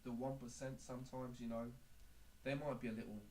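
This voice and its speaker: A man playing a calm male clinic doctor on a prank call, speaking politely and haltingly in an official, clinical tone. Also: medium pitch, natural voice. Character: calm male clinic doctor giving awkward bad news. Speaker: prank caller. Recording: noisy amateur phone-call recording.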